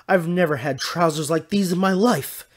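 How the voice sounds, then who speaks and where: A man's voice: medium pitch, animated, moderate pace, darkish tone, husky. A man voicing a slim, dandyish troubadour with a theatrical, protesting manner in a storybook reading. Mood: bright, astonished. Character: slim dandyish troubadour, dramatic, pleading philanderer.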